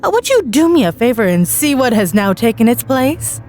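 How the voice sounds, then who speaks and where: A woman voicing a sly male stage magician in a story, with theatrical, formal, drawn-out patter and menacing politeness. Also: on the high side, sing-song, darkish tone, slight background noise. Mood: showy, mischievous. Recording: music bed behind the voice.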